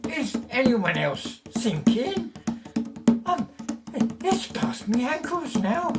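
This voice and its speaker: A bewildered, frightened victim in an audio drama, faltering in a high, very slow voice. Loud music plays over the alarmed words. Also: female voice, big pitch swings, full character voice. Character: bewildered frightened person sinking into the floor.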